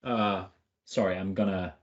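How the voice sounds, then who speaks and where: A man's voice: low-pitched, steady tone, unhurried. Informal male speaker, slightly muffled audio. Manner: informal, joking, emphatic short phrases. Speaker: featured speaker answering questions. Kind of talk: casual online tech talk with Q&A.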